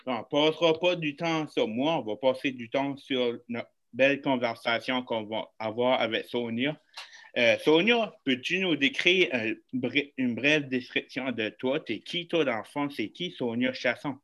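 A man hosts a relaxed podcast interview. His voice is bright and forward, and his friendly, halting delivery is full of fillers. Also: mid-range voice. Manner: friendly, hesitant, many fillers. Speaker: podcast host.

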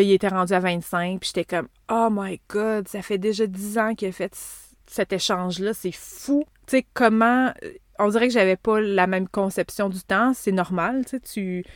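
A woman in her thirties with a Québécois accent talks through her own story as a podcast host. She speaks chatty and loose, with frequent asides, fillers and restarts. Her voice sits at a middle pitch and is recorded close and dry. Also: fairly even intonation, normal speed, dry recording. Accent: Québécois French. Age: 30s. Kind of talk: casual personal podcast monologue.

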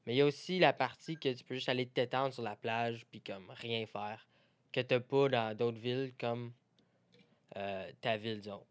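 A man's voice: medium pitch, steady tone, moderate pace. A young man with a French Canadian accent, chatting informally as a podcast co-host. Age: young adult. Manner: informal, conversational. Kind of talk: casual podcast chat.

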